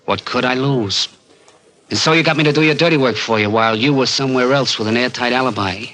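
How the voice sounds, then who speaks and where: A man's voice: mid-range voice, fast, sharp tone, close to an everyday voice. An actor playing a bitter small-time gunman in a 1940s radio crime drama, with an American accent and streetwise, hard-boiled slang. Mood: bitter accusing. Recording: vintage 1940s radio recording, music behind.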